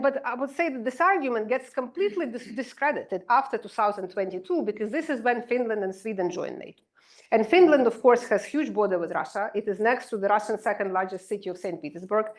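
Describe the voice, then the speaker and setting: Woman w/ lecturing, kinda high voice, lots of "sort of", "you know". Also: expressive, fairly quick. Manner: explanatory, with frequent "sort of" and "you know", occasional restarts. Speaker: political science professor giving a talk. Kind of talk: academic lecture to alumni.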